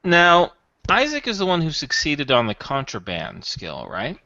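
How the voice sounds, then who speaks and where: A man's voice: on the high side, huge pitch swings, unhurried, sharp tone, dry recording, lo-fi sound. A man chatting casually as a player in a tabletop role-playing game, describing what his character does.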